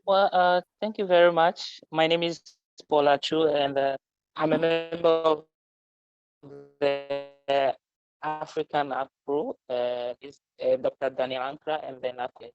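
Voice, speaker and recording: A man from Ghana introduces himself on a video call, halting and searching for words. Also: a little high, steady tone, smooth, no echo, faint music behind. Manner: hesitant, halting. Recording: dull dry call audio.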